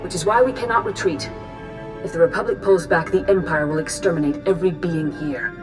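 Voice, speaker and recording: A woman playing a stern, grave Jedi grand master in a video game, measured and authoritative. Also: fairly low pitch, lightly acted. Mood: grave, urgent. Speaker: military and spiritual leader. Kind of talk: dramatic scripted game acting. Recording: music behind the voice.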